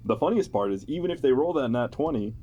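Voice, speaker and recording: A man's voice: medium pitch, lively intonation, fast, mellow, muffled recording, a little hiss or hum. An amused, playful man, explaining a tabletop game character build in a chatty style. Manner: amused, playful, chuckling often. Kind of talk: explanatory chat with a co-host.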